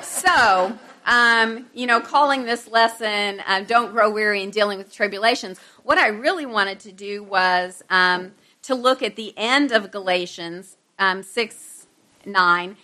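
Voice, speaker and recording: Middle aged lady doing a bible lesson, really bright clear-cut voice, chatty and a bit self-deprecating. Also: mid-range voice, unhurried. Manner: conversational, self-deprecating asides to listeners. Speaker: teacher giving a Bible lesson. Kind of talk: informal Bible lesson talk to a group.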